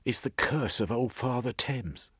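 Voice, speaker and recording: A man's voice: mid-range voice, lively intonation, a little slow, fairly bright tone, slightly rough, no echo, clean studio sound, voice pushed up, full character voice. A man voicing a shy, uneducated young London woman of eighteen in an audiobook, speaking in simple dialect phrases. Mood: wistful. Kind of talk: audiobook character voice.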